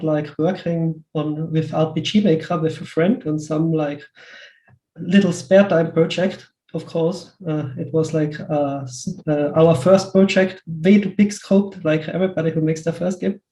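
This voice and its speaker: Echoey, mellow Austrian man in conversation. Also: fairly high pitch, steady tone, normal speed. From Western Austria. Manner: hesitant, looping delivery with repeated words and many "like" asides. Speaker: interview guest, pixel artist. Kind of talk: casual interview talk. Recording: roomy, echoey sound.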